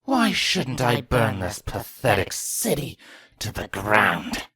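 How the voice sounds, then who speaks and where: A man's voice: lowish voice, huge pitch swings, slow pace, crowd noise, heavily acted. A man voicing a spiteful, grieving changeling queen in a fantasy story reading, with a theatrical, menacing delivery. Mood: spiteful, mocking.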